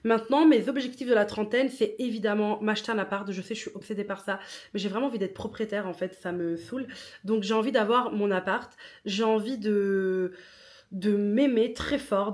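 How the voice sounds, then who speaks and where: A woman's voice: mid-range voice, moderate pace, a little mellow. A woman in her thirties talks through her plans in a loose, chatty monologue. She isn't a native speaker of French and keeps slipping English words into her sentences. She laughs at her own slips. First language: not French. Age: 30s. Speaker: podcaster and writer. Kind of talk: casual personal monologue.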